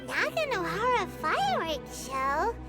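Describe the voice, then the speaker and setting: A woman voicing a tiny, excitable, childlike companion creature in a video game, squeaky, gushing and blunt. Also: unhurried, loud music behind the voice, exaggerated voice. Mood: eager, curious. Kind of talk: video game character acting.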